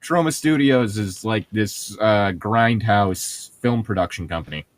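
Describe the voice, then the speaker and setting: A man's voice: on the low side, measured pace. A man co-hosting a podcast in casual comedic chat, teasing and joking with friends over a book. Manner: teasing, jokey, mock-threatening. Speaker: podcast co-host.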